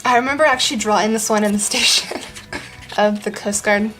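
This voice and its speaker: A young woman talks loosely from her living room, her voice strained from losing it, with frequent ums and little laughs. Her pitch sits in a middling range, and soft music plays faintly behind her. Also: a little fast, poor audio. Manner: rambling, many ums, laughs often. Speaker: art vlogger. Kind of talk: casual chat.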